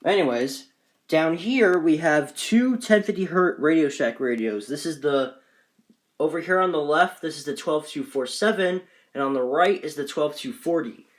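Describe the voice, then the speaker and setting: A man's voice: a little high, lively intonation, moderate pace. A man casually presenting a collection in an off-the-cuff, rambling, matter-of-fact way, recorded on a handheld phone. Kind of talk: casual off-the-cuff show-and-tell.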